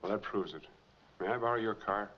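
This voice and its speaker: A man's voice: lowish voice, fairly quick, lightly acted. An American actor in middle age playing a hunted, determined man in 1940s film noir, speaking in clipped, hard-boiled phrases. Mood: urgent, decisive. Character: hunted, determined man trying to clear his name. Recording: muffled, noisy 1940s film soundtrack.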